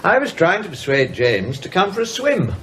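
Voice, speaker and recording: A man playing a brisk English doctor in a radio drama, giving advice to a friend in a dry, probing, matter-of-fact way. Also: high voice, wildly swooping pitch, fairly bright tone, slight background noise, heavily acted. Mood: reassuring.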